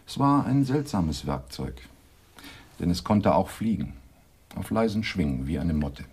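An actor playing a sly criminal informant in a German radio drama, telling veiled riddles in a deliberate, cryptic storytelling way. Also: male voice, low voice, big pitch swings, darkish tone, husky, full character voice. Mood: sly, mysterious.

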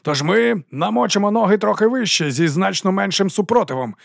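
A flamboyant old rogue of a mercenary captain, put on by a male audiobook reader, addresses his soldiers with theatrical pomp and sly self-mockery. The voice rides well above an everyday register, with a gravelly edge and a very dry, close sound. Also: high voice, lively intonation, brisk, no echo, pitched above the performer's own voice, full character voice. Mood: rousing, sly. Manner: theatrical, self-mocking, grandiose orator. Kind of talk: audiobook character acting.

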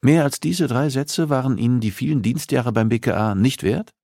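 A man voicing a female police psychologist who is polite, persistent and gently probing. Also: mid-range voice, normal speed, gravelly, no echo, clean studio sound, pitched above the performer's own voice. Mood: concerned, probing.

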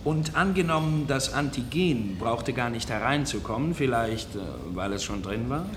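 A man's voice: medium pitch, moderate pace, lightly acted. A man acting a flippant, teasing laboratory chemist in a German radio drama. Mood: wry. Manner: wry, teasing. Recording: echoing room, faint noise.

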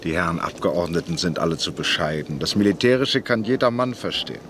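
An actor playing a grave, earnest industrialist in a radio play, speaking in a measured, bitter way. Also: male voice, deep voice, animated, fairly bright tone, slight background noise. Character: Rathenau, grave worried industrialist. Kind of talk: radio drama acting.

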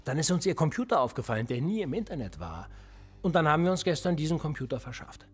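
A man's voice: fairly high pitch, quick pace, slightly rough, soft background music. An actor playing a cool, cynical male police interrogator in a radio drama, smug and needling. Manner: smug, needling, lively intonation.

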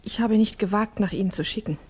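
Ernste, besorgte junge Frau von einer Schauspielerin gespielt. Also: female voice, mid-range voice, fast talker, a little mellow, breathy edge, lightly acted. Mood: worried, grave. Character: earnest, worried young Russian woman, well-bred. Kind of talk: 1960s German radio drama acting.

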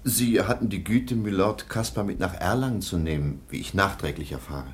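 An actor playing a stern middle-aged baron and guardian in a radio play, formal and disapproving. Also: male voice, deep voice, normal speed, lightly acted.

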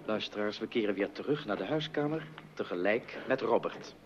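A man's voice: lowish voice, expressive, moderate pace. A man narrating to listeners in scripted old radio announcer style. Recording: muffled old radio recording with loud music.

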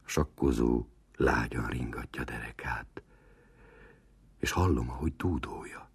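A man acting as a wry, observant storyteller in a dramatic literary reading, with a breathy rasp and a slow, theatrical, sometimes hushed manner. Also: rumbling low, no echo, full character voice. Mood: amused observation. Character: wry, observant male storyteller in a café sketch. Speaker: actor reading a literary sketch.

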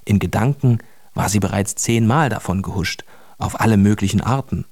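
A man's voice: fairly low pitch, normal speed, a little mellow, no room sound, clean studio sound. A man narrating a children's radio play as a plain storyteller, reading a scripted tale.

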